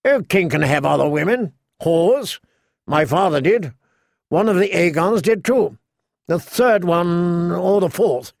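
A petulant, cruel teenage king performed by a male narrator, imperious and taunting in a storybook tale. The voice is pitched high and sounds dry, with no room around it. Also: lively intonation, a little slow, creaky, no room sound, heavily acted. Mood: smug, taunting. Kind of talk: audiobook character voice.

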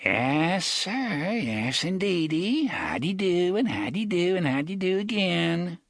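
A man voicing a quavery-voiced old gunslinger who drawls and mocks in a high, shrill tone. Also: very animated, slow, no room sound, clean studio sound, full character voice. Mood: mocking. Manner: drawling, sardonic, teasing. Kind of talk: audiobook character voice.

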